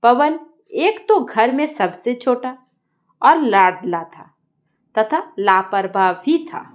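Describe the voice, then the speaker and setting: A woman tells a scripted story in an expressive, deliberate way. Her voice sits a little high and moves through wide rises and falls. She takes her time, delivering the narration at a clearly slow pace.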